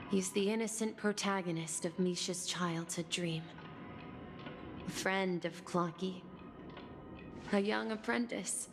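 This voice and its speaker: Solemn, boyish old soul for a video game: earnest, formal, slowly paced, music underneath. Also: female voice, fairly low pitch.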